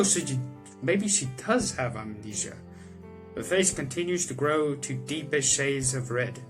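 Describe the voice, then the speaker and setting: A young man hosting a gaming video, reading the game's text aloud and chatting about it with restarts and quick laughs. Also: medium pitch. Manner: chatty, self-correcting, breaks into laughs and reactions. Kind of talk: let's-play reading game text aloud with comments.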